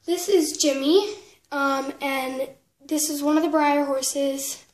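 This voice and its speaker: A woman giving a casual show-and-tell narration in a halting way, often trailing off and correcting herself. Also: sits high, fairly even intonation. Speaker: vlogger giving a tour of a toy horse barn. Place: indoors at home, room with wooden floor. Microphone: handheld camera, speaker behind it.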